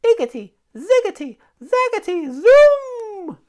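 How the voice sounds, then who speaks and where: A woman voicing an excitable female witch in a storybook, shrill, theatrical and exclamatory. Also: takes it slowly, heavily acted. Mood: excited incantation. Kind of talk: storybook character voice.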